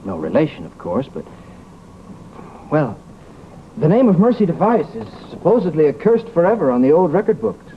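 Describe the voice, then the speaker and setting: An actor playing a confident, gallant American doctor who debunks the supernatural, in old-time drama style. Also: male voice, fairly high pitch, huge pitch swings, normal speed, pauses often. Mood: hesitant, tender. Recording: muffled, noisy vintage broadcast recording.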